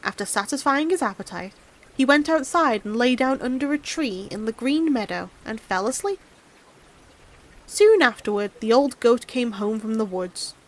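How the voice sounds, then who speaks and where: A high-pitched woman narrates a fairy tale. She reads expressively, in the manner of a storybook audiobook. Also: animated, normal speed.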